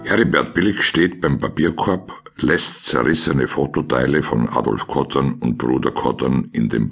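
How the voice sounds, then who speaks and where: A man reading scripted scene descriptions as a deadpan radio play narrator. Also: a bass voice, creaky, breathy edge, full character voice.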